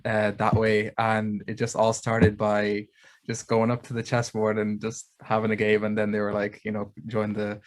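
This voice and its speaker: A young man speaking as a student panelist over a video call, relaxed and hesitant with many fillers. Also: fairly low pitch. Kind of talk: casual webinar chat.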